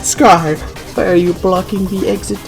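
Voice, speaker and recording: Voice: mid-range voice, level tone, normal speed, vocal fry, voice pushed up, lightly acted. A reader voicing a shocked, pleading young man in a dramatic, stammering fan fiction reading. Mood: indignant. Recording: music under the reading.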